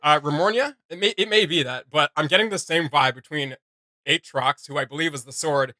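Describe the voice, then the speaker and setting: A man chatting casually as a reaction video host, excitable and prone to sudden bursts of volume. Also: a little high, brisk, dry recording.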